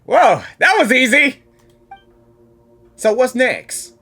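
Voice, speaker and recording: Animated, bright-voiced woman reacting to a game. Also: sits high, slow pace. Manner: exclamatory, repeats phrases. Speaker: gaming reaction host. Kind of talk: excitable live game reaction commentary. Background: faint game music.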